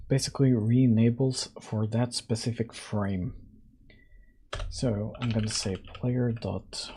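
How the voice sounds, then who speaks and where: A man with a hoarse, husky voice, worn from days of coughing, chats slowly and casually as he works through code. He sits close to the mic late at night and fills pauses with ums as he thinks aloud. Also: mellow. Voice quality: husky, deeper than usual. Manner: slow, thinking aloud with fillers. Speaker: game dev livestream host. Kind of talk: casual coding livestream chat. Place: home room, at night. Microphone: close mic right in front.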